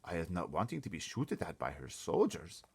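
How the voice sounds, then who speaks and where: A man puts on a huge, friendly storybook giant. He is simple and unsure of himself, asking things in muddled, quaint grammar. The voice has a gravelly creak. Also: low-pitched, huge pitch swings, normal speed, exaggerated voice. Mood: worried, puzzled. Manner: ungrammatical, quaint speech, questioning. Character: big friendly male giant, simple, timid, wondering. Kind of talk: storybook read-aloud.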